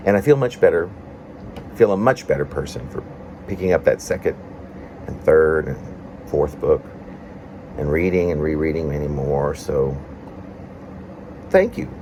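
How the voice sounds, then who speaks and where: A man reading his own confessional, prose-like poem aloud in a reflective, halting way with formal wording. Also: extremely low pitch, warm tone, slight background noise. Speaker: poet reading own work.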